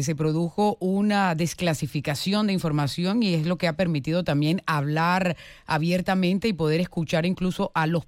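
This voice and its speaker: A man's voice: a little high, no echo, studio quality. A man interviewing on radio in a conversational way, feeling his way through questions with repeated words.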